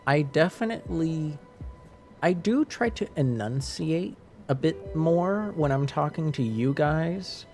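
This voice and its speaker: A man's voice: fairly high pitch, lively intonation, slow, a little mellow, faint music behind. A man from French Canada with a light French Canadian accent, talking casually to his stream viewers, rambling with many restarts and fillers.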